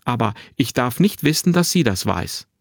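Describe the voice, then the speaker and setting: Guy doing a cheeky kid of about twelve, clear and pitched up, storybook dialogue, super sure of himself. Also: sing-song, moderate pace, no room sound, clean studio sound. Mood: confiding. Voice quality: clear, bright. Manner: forthright, unembarrassed, boyishly matter-of-fact. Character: boy of about twelve, cheeky, confident, carefree. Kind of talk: acted dialogue in a narrated story.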